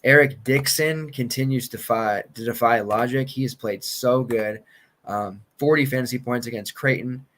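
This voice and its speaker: A man in his thirties, losing his voice and clearing his throat, rambling casually through sports betting picks. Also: mid-range voice, normal speed, fairly bright tone. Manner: loose, rambling, confident picks with filler and restarts. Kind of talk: casual solo podcast commentary.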